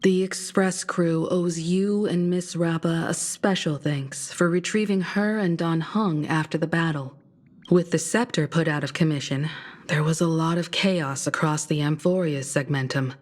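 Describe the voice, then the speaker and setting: Sensible woman leader from a game, lower register, composed, courteous, articulate. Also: unhurried. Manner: calm, articulate. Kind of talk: video game voice acting.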